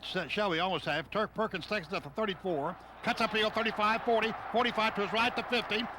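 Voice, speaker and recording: High-voiced man calling football on noisy radio. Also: quick pace. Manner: clipped running description, excitable on big plays. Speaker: radio play-by-play announcer. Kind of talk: live football radio commentary. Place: outdoor stadium, radio broadcast. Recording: noisy outdoor stadium radio broadcast.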